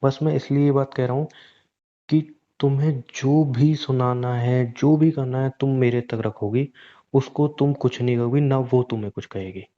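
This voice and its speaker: A man's voice: medium pitch, fairly even intonation, normal speed, mellow, natural voice. Man acting a weary, guilt-ridden husband, muffled recording. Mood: apologetic, cautious. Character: weary, guilt-ridden cheating husband, resigned yet pleading. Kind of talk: naturalistic Hindi relationship audio drama, staged as a private conversation.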